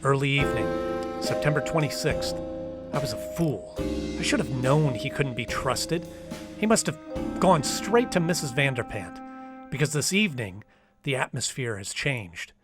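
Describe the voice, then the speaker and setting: A man's voice: medium pitch, lively intonation, moderate pace, over loud music, lightly acted. A man playing an anxious, sensitive young man in a radio drama, speaking in an earnest, faltering, dramatic way. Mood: dread, bitter. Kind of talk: old-time radio drama acting with diary narration.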